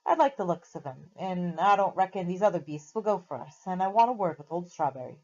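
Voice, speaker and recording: A woman voicing a blunt, working-class cab driver who talks with rough, indignant directness. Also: fairly low pitch, lively intonation, a little fast, darkish tone, highs cut off. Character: rough-spoken London cab driver, loyal man.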